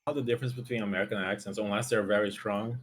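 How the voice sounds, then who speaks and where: A man's voice: lowish voice, fairly even intonation, very fast, slight background noise. A man from Brazil whose first language is Portuguese, chatting casually on a stream. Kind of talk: casual chat on a livestream.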